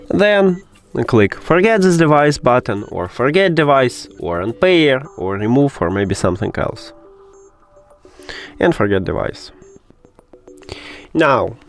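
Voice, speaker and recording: A man hosting a how-to tech video, talking the viewer through instructions step by step in a direct, instructional way. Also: medium pitch. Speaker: host of a tech troubleshooting tutorial. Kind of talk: spoken step-by-step tutorial.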